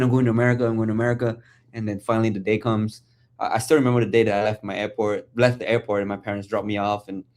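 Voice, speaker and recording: A man in his twenties from Malaysia, a guest on a podcast, talking casually and repeating words as he searches for them. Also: lowish voice. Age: 20s. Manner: relaxed, chatty, repeats words when searching. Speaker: guest, sports photographer. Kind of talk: casual conversation.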